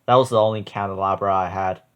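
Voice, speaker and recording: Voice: low voice, steady tone, fast, fairly bright tone, pitched below the performer's own voice. A storybook reader voicing a confident, bossy female goat who leads the herd. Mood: confident, explaining. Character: Jaina, bold bossy female leader goat.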